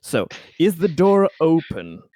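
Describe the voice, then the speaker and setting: A man's voice: fairly high pitch, sing-song, slow, mellow, no echo, clean studio sound. A man playing a tabletop roleplaying game, talking casually through his moves.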